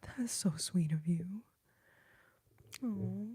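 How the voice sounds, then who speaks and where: A woman's voice: lowish voice, takes it slowly, mellow, husky. A young woman whispering to her livestream viewers during an ASMR stream, greeting them with pet names, close to a phone mic. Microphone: phone held close.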